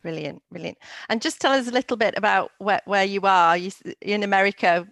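A woman's voice: mid-range voice, a little fast, vocal fry, a bit bright, no echo. A woman presenting a radio show, interviewing a guest in a casual, chatty style.

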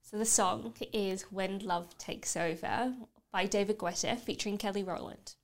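A woman's voice: mid-range voice, steady tone, moderate pace. British woman in her thirties, candid. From United Kingdom. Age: 30s. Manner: candid, open about personal life. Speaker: podcast guest. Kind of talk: reflective personal conversation.

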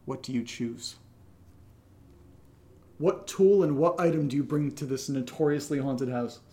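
A man's voice: mid-range voice, unhurried, warm tone. A man hosting a show in casual, improvised banter with a wry, teasing manner. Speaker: show host.

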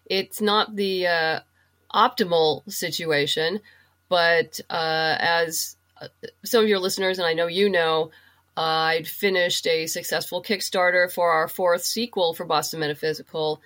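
A woman speaking as a podcast guest in casual interview chat, halting as she thinks aloud. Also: on the low side, fairly even intonation, a bit bright. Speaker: podcast guest, comic writer and audio drama producer.

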